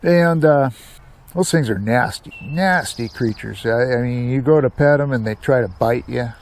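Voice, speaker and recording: An American man hosts a storytelling channel in a casual, homespun way. His voice sits at an average pitch for a man. He rambles along in plain, colloquial speech, like someone sharing personal memories. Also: moderate pace, a little mellow. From United States, Seattle area. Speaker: storyteller, YouTube channel host.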